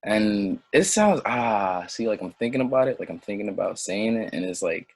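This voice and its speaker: A man's voice: on the low side, lively intonation, normal speed, breathy edge. A young man raised in Senegal, a native French speaker, rambling and doubting himself as an interview guest, then drifting into impassioned digressions. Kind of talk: casual interview conversation.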